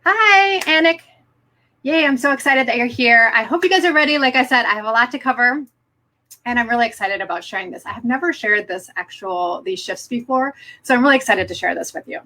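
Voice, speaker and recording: A woman's voice: a little high, expressive, a little fast, bright, forceful tone. A middle-aged woman presenting live online as a business coach, upbeat and encouraging, speaking directly to her viewers.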